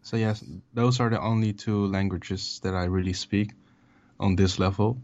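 A man in his twenties from the Netherlands with a Dutch accent, speaking English as a second language in a relaxed, halting way full of fillers. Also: lowish voice, muffled recording. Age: 20s. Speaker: musician guest. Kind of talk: casual interview over a call. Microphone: call audio.

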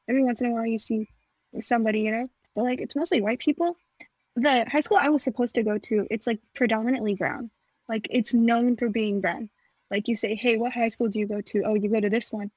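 A young woman from Nepal chats on a podcast in a fairly high voice. She tells stories with energy and acts out the back-and-forth of conversations. The sound is dry and close. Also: dry recording, rough recording. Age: young adult. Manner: animated storyteller, acts out quoted dialogue. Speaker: podcast co-host. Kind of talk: casual chat.

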